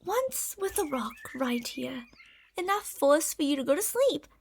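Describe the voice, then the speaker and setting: A woman's voice: high-pitched, sing-song, measured pace, a little hiss or hum, full character voice. A woman voicing a possessive, delusional lamia monster in an ASMR roleplay, speaking in a haughty, theatrical, sweetly gaslighting way. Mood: hushed, creepy.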